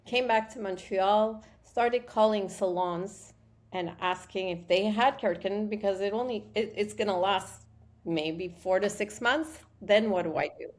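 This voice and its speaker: A woman's voice: mid-range voice, measured pace. A woman from Morocco, a guest founder of a hair product company, telling her story in a chatty interview and restarting sentences often. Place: Montreal.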